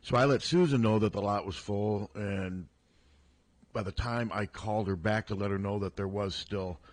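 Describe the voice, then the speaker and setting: A man's voice: on the low side, moderate pace, no echo. A man co-hosting a radio sports talk show, telling a story in a casual, self-deprecating way. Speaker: sports reporter and co-host. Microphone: studio broadcast mic.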